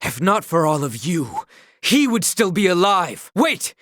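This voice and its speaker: Earnest, formal young knight with expressive range. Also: male voice, high voice, measured pace, no room sound, studio quality, heavily acted. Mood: furious, anguished. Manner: formal, stately, earnest delivery. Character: loyal, earnest, duty-bound young male knight. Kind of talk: video game voice acting, formal archaic dialogue.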